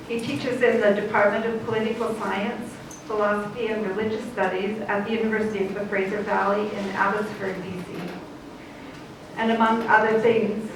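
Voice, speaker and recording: Woman moderator, conversational, recorded far off in a noisy, echoey hall. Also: medium pitch. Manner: warm, conversational, some restarts. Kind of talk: introducing panelists. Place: conference hall.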